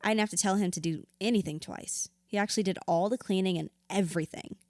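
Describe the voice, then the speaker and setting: A woman's voice: mid-range voice, quick pace, darkish tone, dry recording, clean studio sound, natural voice. A woman acting in an audio drama as a grieving widow in therapy, wounded and candid, reflective with a wry edge. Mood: wistful, animated.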